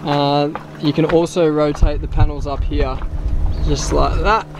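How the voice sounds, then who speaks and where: 30s man, slightly high voice, easygoing boat-tour host, faint outdoor noise. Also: unhurried. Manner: relaxed, explanatory, many fillers. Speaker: sailing vlog host. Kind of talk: casual boat tour walkthrough. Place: outdoors on a sailboat deck.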